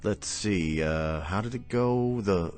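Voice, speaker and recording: An actor playing a laconic, sly traveling stranger in an Old West radio drama, speaking wryly with an American accent. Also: male voice, low-pitched, slow pace, darkish tone, soft background music. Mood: mild, wry.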